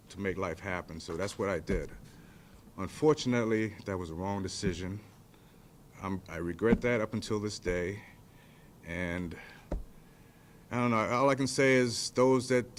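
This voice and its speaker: A man's voice: lowish voice, slight background noise. A man in his fifties, born in Jamaica, giving short, plainspoken, heartfelt remarks at a press event after receiving a pardon. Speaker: pardon recipient.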